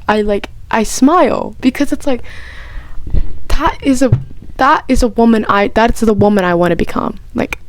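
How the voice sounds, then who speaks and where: A woman chatting casually as a vlogger, gushing and excitable, rambling with frequent restarts and lots of "like". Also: medium pitch, no echo. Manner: chatty, heavy use of "like". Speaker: vlogger answering personal questions. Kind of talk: casual chat.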